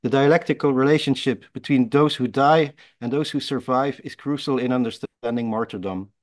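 A man's voice: mid-range voice, fairly quick. Earnest man reading a speech aloud. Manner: earnest, reads aloud with frequent self-corrections. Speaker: forum speaker. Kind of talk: reading a prepared political tribute. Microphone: video call microphone.

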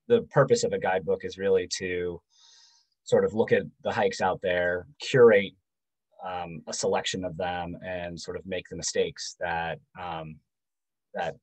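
A man in his forties giving a casual book talk over a video call, halting with many ums and restarts. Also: deep voice, darkish tone, rough recording. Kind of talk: casual presentation. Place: home room.

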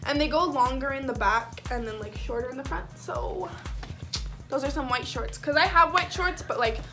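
A young woman with an American accent talks in a high voice. She is chatty and slangy, as if showing clothes to friends on a vlog. Music plays clearly behind her. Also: moderate pace. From lives in Las Vegas, United States. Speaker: video blogger. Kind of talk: casual chat, clothing haul vlog. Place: small bedroom, indoors. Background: background music.

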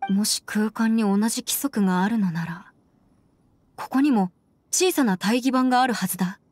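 A woman's voice: medium pitch, normal speed, darkish tone, slight background noise, lightly acted. A woman playing a composed, earnest young female adviser in an anime game, with matter-of-fact, mannish phrasing over background music. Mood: alert.

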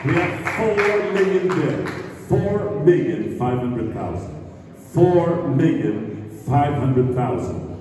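A man working as an auctioneer, calling out bids in a drawn-out, chanting way, recorded from the audience. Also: mid-range voice, big pitch swings, background noise. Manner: drawn-out, chanting bid calls. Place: large hall with stage. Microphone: podium mic, filmed from the audience. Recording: echoey hall from far off.

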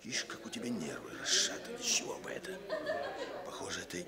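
An actor playing a small, excitable, menacing mafia thug in a radio drama, falsely friendly and taunting. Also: male voice, on the low side, quick pace, over a noisy crowd. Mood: quietly menacing. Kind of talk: Soviet radio drama acting.

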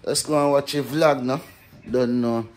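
A man's voice: medium pitch, steady tone, a little slow. A young man with a Caribbean accent chatting casually and slangily to his vlog, repeating catchphrases. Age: young adult. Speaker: vlogger. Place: hotel room and outdoor walkway. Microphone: phone at arm's length. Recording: handheld phone with faint background noise.

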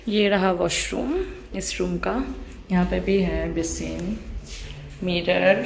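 A woman's voice: on the low side, steady tone, slow, creaky. Chatty young woman vlogging on phone. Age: young adult. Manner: chatty, exclaims often. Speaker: travel vlogger. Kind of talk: casual travel vlog narration. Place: hotel room, then outdoor rooftop pool. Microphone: handheld phone at arm's length. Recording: noisy phone audio with ambience.

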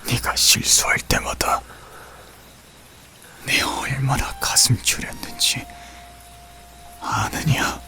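A man voicing a stern aging Joseon king confronting his son in a historical audio drama, hushed and breathy, breaking into strained cries. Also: medium pitch, little pitch movement, takes it slowly, long pauses, whispered. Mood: grave, hushed. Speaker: voice actor. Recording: with music underneath.

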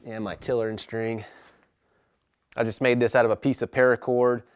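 A man in his thirties talks through his bow-building tools in an unhurried, hands-on way, pausing often on "uh." His mid-range voice comes across smooth and clear. The recording is dry, with almost no room sound around him. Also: mid-range voice, no echo. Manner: relaxed and practical, many "uh"s, trails off quietly at ends of thoughts. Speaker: bowyer giving a shop tour. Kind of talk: casual walkthrough explaining his tools. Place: large workshop with plywood walls, indoors.

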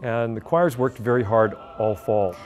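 A man in his thirties, a choir music director, speaking in a measured, thoughtful way with pauses in a wood-paneled office. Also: mid-range voice, a little mellow. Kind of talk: interview.

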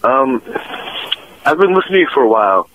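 A man's voice: mid-range voice, very animated, brisk, slight background noise. A man calling in to a radio show, talking hesitantly with many fillers over a phone line. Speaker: caller. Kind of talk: casual phone call.

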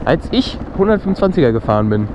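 A young man vlogging casually while riding a motorcycle, excited and slangy, talking straight to his viewers. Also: a little high, fast. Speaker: motovlogger. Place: outdoors on a motorcycle, city streets and open road. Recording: wind and engine noise.

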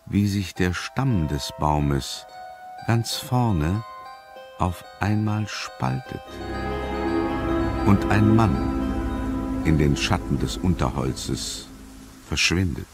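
A man narrating a scripted audio drama in a measured, suspenseful manner. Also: low-pitched, animated. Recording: music under the voice.

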